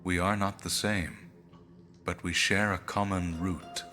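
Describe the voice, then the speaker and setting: A man voicing a calm, detached spirit of lost power in a visual novel, speaking in a measured, formal way. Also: low voice, soft background music. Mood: calm, explanatory. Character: calm clinical spirit of cast-away power, a killer.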